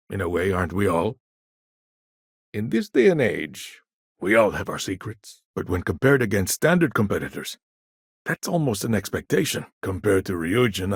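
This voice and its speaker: An actor playing a shrewd, guarded businessman in a video game, with a measured, wry, businesslike way of talking. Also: sits low, gravelly, husky, no echo, clean studio sound, exaggerated voice. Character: shrewd, guarded corporate businessman, smooth-talking and self-assured. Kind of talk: scripted game dialogue.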